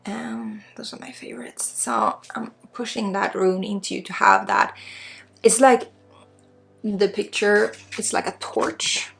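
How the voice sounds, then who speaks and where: A Swedish-accented woman speaks as a spiritual guide in an intimate ASMR healing session. Her delivery is very unhurried and halting, with a soft, breathy tone that often sinks into whispers and plenty of vocal fry. Music plays quietly underneath. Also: mid-range voice, expressive. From Sweden. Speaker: spiritual guide, energy healer.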